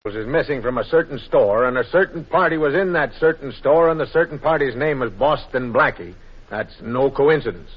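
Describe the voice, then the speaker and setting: An actor playing a shrewd, suspicious police inspector in a deliberate, insinuating way, in 1940s radio drama style. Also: male voice, medium pitch, animated, slightly rough. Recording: muffled, worn 1940s radio recording.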